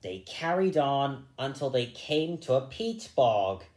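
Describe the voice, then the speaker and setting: Male storybook reader, unhurried delivery, mid-pitched, audible room echo. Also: sing-song, darkish tone, a little hiss or hum. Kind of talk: children's storybook narration with asides. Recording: echoey room.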